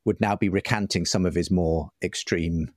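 Male interview guest, fairly low pitch, articulate and thoughtful delivery, dry close sound. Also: steady tone, moderate pace, no room sound. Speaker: podcast guest, writer and broadcaster. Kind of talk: conversational interview.

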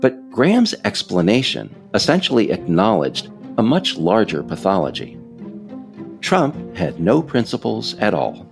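Low, creaky American man narrating. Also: wildly swooping pitch, measured pace, music in the background. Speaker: author narrating own political audiobook. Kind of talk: scripted narration.

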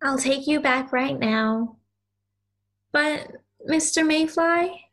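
A woman's voice: high voice, slow, fairly bright tone, heavily acted. A woman playing a kind, earnest young girl in an audio play, with a sincere, hesitant, childlike manner. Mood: tender sadness.

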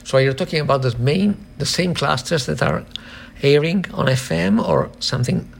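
A man hosting an interview, asking questions in a conversational way with a halting, think-aloud delivery. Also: fairly high pitch, creaky, husky. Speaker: interviewer and host.

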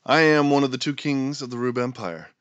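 A man's voice: medium pitch, fairly quick, clear, resonant tone, dry recording, highs cut off. A man co-hosting a podcast, introducing the show playfully and chatting casually about politics. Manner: jokey, theatrical introductions. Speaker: podcast co-host.

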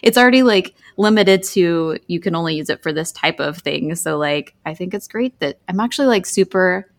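Woman podcast cohost, lowish voice w lots of vocal fry, chatty n emphatic. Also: expressive, moderate pace. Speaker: podcast co-host. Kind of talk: casual, chatty commentary.

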